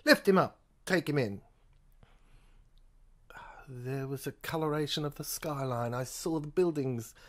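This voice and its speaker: Deep-voiced woman reading a sci-fi audio drama, very theatrical, halting, stretches words out. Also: darkish tone. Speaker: narrator and performer of an audio play. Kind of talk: dramatic scripted narration of a science fiction audio play.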